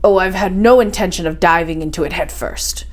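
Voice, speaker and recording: A woman's voice: fairly low pitch, fairly quick, natural voice. Woman reading as a confident, lecturing older male professor. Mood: resolute. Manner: didactic, assured, declarative.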